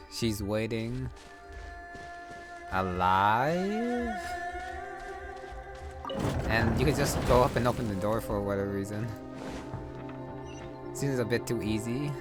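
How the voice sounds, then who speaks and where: A man's voice: medium pitch, animated, takes it slowly, long pauses. A man giving casual game commentary, musing aloud in short fragments. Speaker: gameplay streamer. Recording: loud game music behind. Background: loud game music.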